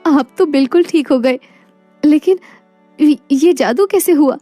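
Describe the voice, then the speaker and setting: A woman's voice: high-pitched, measured pace, mellow, no room sound, soft background music, voice pushed up. A woman voicing a poor, proud and devoted wife in a moral story, earnest and emotional. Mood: amazed. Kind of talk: animated moral story dialogue.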